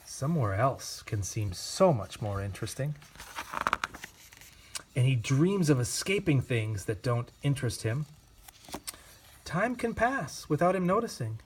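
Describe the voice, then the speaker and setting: A man reads a picture book aloud at an unhurried pace. His pitch rises and falls freely, and a faint hiss sits under the voice. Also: rough recording. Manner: gentle, expressive storytelling. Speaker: reader. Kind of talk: storybook read-aloud.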